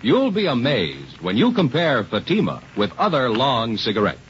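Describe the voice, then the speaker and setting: A man's voice: medium pitch, huge pitch swings, normal speed, loud music behind the voice. A man reading a 1950s radio commercial with emphatic, polished salesmanship. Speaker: commercial announcer. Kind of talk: 1950s radio advertising pitch. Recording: dull, faintly noisy vintage radio recording.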